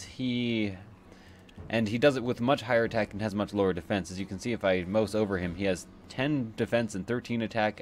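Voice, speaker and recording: Casual male gamer explaining over music. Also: on the low side. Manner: thinks aloud while explaining. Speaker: let's play host. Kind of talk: casual game commentary and explanation. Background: game music.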